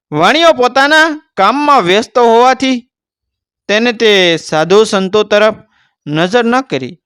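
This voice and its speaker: A man with a Gujarati accent narrating a devotional folk tale in an unhurried, descriptive, folksy storytelling manner. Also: sits high, bright, forceful tone, clean tone, dry recording, clean studio sound.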